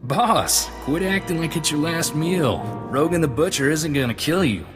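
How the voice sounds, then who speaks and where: An actor playing an eager, lighthearted young mercenary sidekick, cheeky and folksy, in a video game. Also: male voice, a little high, expressive, normal speed, close to an everyday voice. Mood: teasing. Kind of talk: video game character dialogue. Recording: reverberant hall sound under music.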